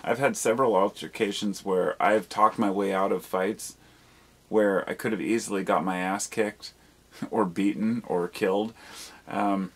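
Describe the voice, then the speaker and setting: A man's voice: lowish voice, fairly even intonation, unhurried, breathy edge. A man talking in a casual, rambling monologue, candid and casually profane, reflective and questioning himself as he goes. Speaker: video blogger.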